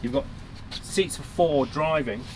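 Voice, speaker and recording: A man's voice: on the high side, measured pace, noisy background. A man in his forties presenting a camper van with upbeat, chatty enthusiasm as he walks around it and inside, pointing out features. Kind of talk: upbeat walkaround review. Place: outdoors by a van on a windy coast, and inside the van.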